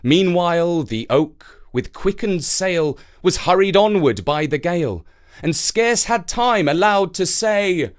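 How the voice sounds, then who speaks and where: A man presenting and reading a scripted verse fable as a storyteller, in an expressive, measured and dramatic way. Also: high-pitched.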